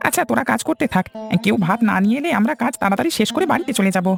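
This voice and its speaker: A man voicing a bossy, scheming middle-aged village farmer in a cartoon, talking in colloquial family speech with drawn-out interjections. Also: extremely high pitch, lively intonation, gravelly, soft background music, exaggerated voice. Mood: concerned, hungry. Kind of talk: cartoon dialogue.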